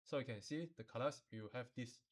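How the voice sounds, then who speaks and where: Male software instructor, Malaysian or Singaporean accent, mid-pitched voice, informal step-by-step delivery with colloquial particles. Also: brisk. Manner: colloquial phrasing with sentence-final particles like lah and lor.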